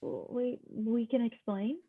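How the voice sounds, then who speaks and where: A defensive teenage daughter, played by a woman in a script read aloud, with a husky, creaky voice. She sounds guilty and hesitant, on a dull, low-fidelity home recording. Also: steady tone, measured pace.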